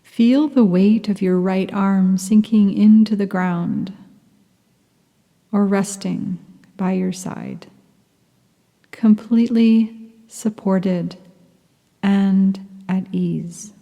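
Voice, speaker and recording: Woman meditation guide, very slow and mellow, soft half-whispers, long reverb around the voice. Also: medium pitch, fairly even intonation, highs cut off. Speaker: yoga nidra teacher.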